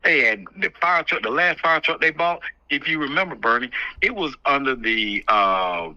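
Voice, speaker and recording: Bright, forceful man on the phone. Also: on the low side, dry recording. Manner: emphatic, repeats phrases for rhetorical effect. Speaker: community activist giving advice on a call. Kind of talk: candid phone conversation, advising. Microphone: telephone. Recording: muffled, band-limited phone call.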